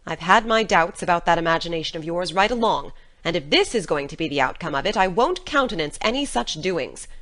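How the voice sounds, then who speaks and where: A woman's voice: fairly low pitch, lively intonation, fairly bright tone. Stern, no-nonsense older guardian played by a woman for audiobook drama; firm, scolding, with sharply swinging intonation. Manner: brisk, scolding, incredulous, firm. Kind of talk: dramatic audiobook character reading.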